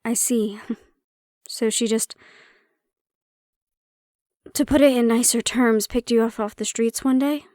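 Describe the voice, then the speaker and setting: A woman playing a prickly but caring handler in an intimate audio roleplay, speaking in a measured, probing way. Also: a little high, steady tone, mellow, no echo, clean studio sound. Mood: curious, probing. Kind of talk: intimate audio roleplay acting, one-sided conversation.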